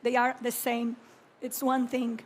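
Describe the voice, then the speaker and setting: A Brazilian woman in her thirties, speaking English with a Brazilian Portuguese accent, gives a slow, reflective conference talk as an architect and urban planner, often clearing her throat. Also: fairly high pitch, monotone, a little hiss or hum. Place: conference stage.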